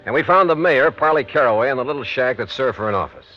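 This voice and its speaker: An actor playing a cool, dogged investigator in 1950s radio noir, in both dialogue and narration. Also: male voice, medium pitch, expressive, fast, faint music behind, natural voice. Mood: measured narrating. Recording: dull vintage radio recording.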